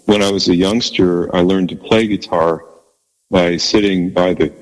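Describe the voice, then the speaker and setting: A man with a low bass voice, chatting as a guest in an interview, speaking haltingly and modestly with many restarts. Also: fairly even intonation, long reverb tail, poor audio. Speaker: guest musician. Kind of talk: casual interview chat.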